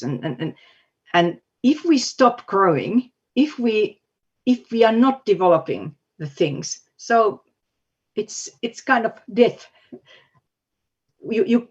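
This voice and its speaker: Husky woman on a call. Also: fairly low pitch, dull audio. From Finland. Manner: hesitant, many restarts, earnest. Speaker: guest speaker, education expert. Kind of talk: online seminar discussion.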